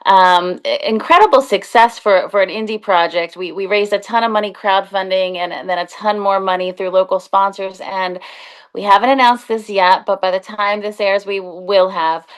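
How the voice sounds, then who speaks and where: A woman's voice: medium pitch, steady tone, normal speed, smooth. An American woman chatting as a podcast guest, upbeat and earnest. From Philadelphia suburbs, United States. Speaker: actor and film producer, podcast guest. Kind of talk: casual chat.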